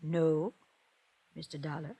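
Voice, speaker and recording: An actress playing a scheming, controlling wealthy villainess in a 1950s radio drama, coaxing and haughty in a theatrical way. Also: female voice, low voice, flat delivery, takes it slowly, mellow, breathy edge, exaggerated voice. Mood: coolly coaxing.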